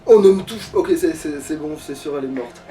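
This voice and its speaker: A man's voice: on the high side, animated, fairly quick, warm tone, slight background noise. A man playing and commentating a video game in casual, slangy chat with crude swearing. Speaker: let's play player and commentator. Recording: somewhat distant mic.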